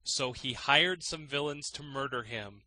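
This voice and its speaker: A man's voice: medium pitch, measured pace, bright, forceful tone, no room sound, poor audio. A man narrating a fairy tale as an audiobook reader, in a scripted storytelling style.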